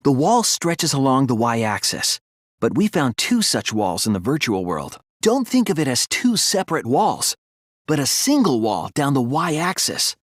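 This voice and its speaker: A man voicing an earnest young male detective in a video game, thoughtful and anguished but resolute, with deliberate, analytical phrasing. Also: mid-range voice, big pitch swings, dry recording, studio quality. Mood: analytical, serious. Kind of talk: dramatic video game voice acting, deductive explanation.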